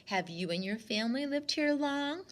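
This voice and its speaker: A woman's voice: high voice, expressive, normal speed, voice pushed up. A woman voicing a courteous, mysterious man in a storybook, speaking in a light, mannered, politely probing way. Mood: probing, thoughtful. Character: courteous, smooth, mysterious tall man in yellow suit.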